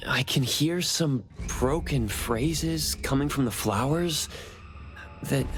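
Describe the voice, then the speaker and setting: An actor playing an earnest, reserved, reassuring investigator in a game cutscene, with an understated, tentative manner. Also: male voice, mid-range voice, close to an everyday voice. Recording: music behind the voice.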